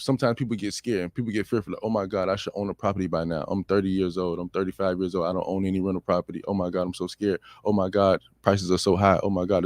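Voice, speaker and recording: A man's voice: sits low, a little mellow, dry recording. An American man co-hosting a financial advice talk, conversational and reassuring, repeating his points and often checking in with the listener. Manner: reassuring, repetitive, checks in with "right?". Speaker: talk show co-host on real estate loans.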